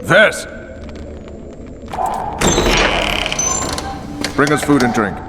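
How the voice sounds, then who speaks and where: An actor playing a hard-bitten special forces commander in a fantasy video game, stern, blunt and dry in manner. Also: male voice, medium pitch, very animated, normal speed, heavy background noise, close to an everyday voice. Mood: commanding. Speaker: interrogator and military commander.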